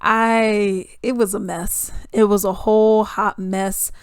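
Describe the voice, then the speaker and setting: Woman, casual video commentary; animated delivery, swings loud to hushed; close, dry recording. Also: medium pitch, slow, clear, resonant tone, no echo. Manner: animated, chatty, swings between loud emphasis and hushed asides. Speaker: YouTube commentator.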